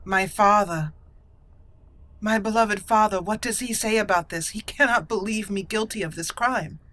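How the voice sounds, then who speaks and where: A woman's voice: mid-range voice, normal speed, fairly bright tone, lightly acted. A woman voicing a proud, wronged elven noblewoman in a game roleplay, dramatic and formal in delivery. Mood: anguished, earnest. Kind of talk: roleplay acting in a game let's play.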